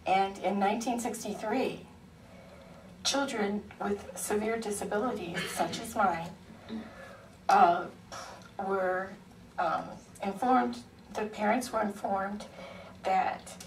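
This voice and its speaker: Soft-voiced woman speaking slowly. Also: medium pitch, fairly even intonation. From Ohio, USA. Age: 40s. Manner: unhurried storytelling with frequent ums. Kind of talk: personal testimony speech. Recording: echoey hearing room, far mic, faint noise.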